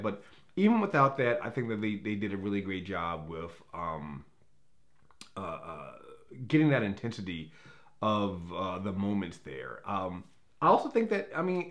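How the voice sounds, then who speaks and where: Low-voiced man giving a rambling film review. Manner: rambling, self-correcting, repeats words as he searches for phrasing; blunt, casually profane. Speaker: movie reviewer. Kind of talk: casual opinionated review talk.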